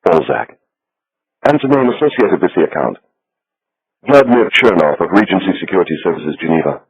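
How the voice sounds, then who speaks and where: A man voicing a calm, determined intelligence officer who speaks in a quiet, firm, persuasive way. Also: low-pitched, brisk, breathy edge, lo-fi sound, exaggerated voice. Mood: insistent.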